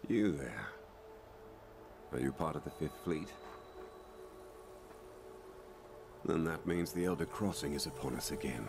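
An actor playing a solemn, courteous veteran hunter in a video game, speaking formally with a gravelly voice. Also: male voice, very deep voice, expressive, exaggerated voice. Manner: formal, measured, old-fashioned phrasing. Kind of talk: scripted video game cutscene dialogue. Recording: music under the voice.

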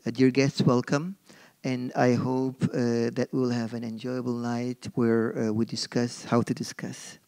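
A man's voice: medium pitch, little pitch movement, a little slow, darkish tone. A middle-aged man from Turkey with a Turkish accent, speaking on a forum panel as a marketing professor, hesitant with many fillers and restarts, into a podium microphone on a stage. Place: stage in a dark auditorium. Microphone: gooseneck podium mic.

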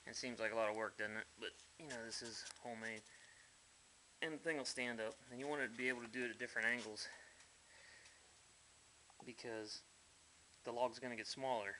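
A man's voice: mid-range voice, fairly even intonation, a little fast, pauses often, sharp tone, slight background noise, poor audio. Blunt folksy man in his workshop. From United States. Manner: blunt, folksy, mildly profane. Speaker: hobbyist builder. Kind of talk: casual workshop walkthrough. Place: dim garage workshop.

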